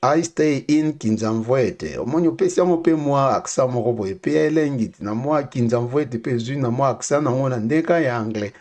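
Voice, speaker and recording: A man teaching English phrases, saying each one twice, slowly and clearly, for learners to repeat. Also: medium pitch, animated, darkish tone. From Democratic Republic of the Congo. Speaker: language teacher. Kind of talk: casual spoken language lesson with repeated phrases.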